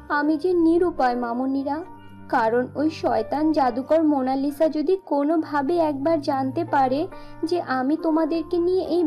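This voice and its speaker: A woman's voice: sits high, mellow. A woman voicing a loving, anxious mother fairy in a children's cartoon, tender and doting.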